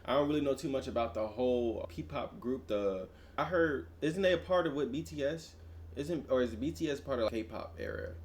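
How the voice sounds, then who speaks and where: A man's voice: mid-range voice, normal speed, slight background noise. A young man hosting a reaction video, chatting casually and exclaiming at what he sees. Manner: animated, exclaims loudly at surprises, repeats "I'm not gonna lie".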